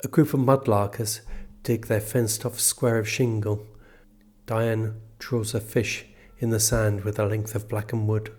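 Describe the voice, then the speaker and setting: Husky, low-voiced man reading literary prose. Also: little pitch movement, a little slow, a little mellow. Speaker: reader of a literary extract. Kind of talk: scripted literary narration.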